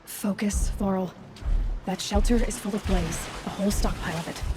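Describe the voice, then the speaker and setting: A woman's voice: medium pitch, steady tone, normal speed, over music, lightly acted. Determined young huntress played by a woman. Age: young adult. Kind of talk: video game voice acting.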